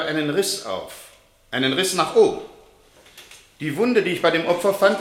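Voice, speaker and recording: A man's voice: a little high, fairly bright tone, natural voice. A man acting a pedantic court medical expert in a German radio play, formal, long-winded and self-assured. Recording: roomy, reverberant courtroom sound.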